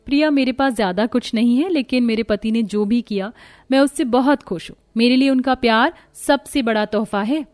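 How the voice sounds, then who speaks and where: Woman playing a humble, mellow-voiced young wife. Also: a little high, moderate pace, no room sound, soft background music, natural voice. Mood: humble, steady. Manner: gentle, contented, earnest. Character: humble poor young wife, patient, loyal, forgiving friend. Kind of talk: Hindi moral cartoon story dialogue.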